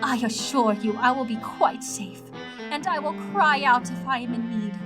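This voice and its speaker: A woman's voice: fairly high pitch, moderate pace, lightly acted. A woman acting a haughty, scornful pony opera diva in an audio drama, theatrical and grandiose with a false sweetness. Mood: falsely sweet. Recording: music bed behind the voice.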